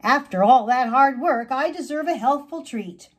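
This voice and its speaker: Woman as an eager, high-voiced young male caterpillar. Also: breathy edge. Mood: effortful, pleased. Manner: expressive, drawn-out calling and musing.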